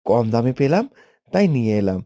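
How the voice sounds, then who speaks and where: A man's voice: mid-range voice, very animated, fairly quick, over music. A man voicing an apologetic young village lad in a cartoon, a meek, pleading helper who works for his relatives. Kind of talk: animated cartoon voice acting.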